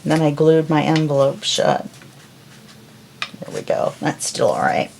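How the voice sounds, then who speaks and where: A woman hosting a crafting tutorial, chatting calmly and unhurriedly as she works. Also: sits low, vocal fry, slight background noise. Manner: calm, unhurried, talks while working. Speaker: crafting video host. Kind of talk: casual crafting tutorial chat.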